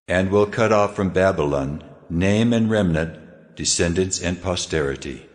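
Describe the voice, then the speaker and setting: An actor playing a stern, sovereign deity decreeing judgment in a solemn, commanding manner, in dramatized scripture. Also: male voice, sits low, a little slow. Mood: stern, resolute. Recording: long reverberant hall effect.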